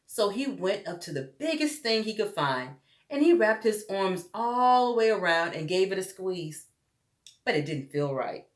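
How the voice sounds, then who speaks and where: A woman storyteller reads to little children in an echoey room. Her delivery is slow and very animated, leaning on key words for drama. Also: medium pitch. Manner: addresses listeners as friends, dramatic emphasis. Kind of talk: expressive read-aloud storytelling for young children. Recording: roomy echo.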